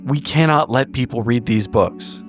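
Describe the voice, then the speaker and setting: A man playing a tabletop roleplaying game, improvising in character with halting, repetitive, swear-laden phrasing. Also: medium pitch, soft background music. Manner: halting, repetitive, profanity-laced speech. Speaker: tabletop roleplay podcast player.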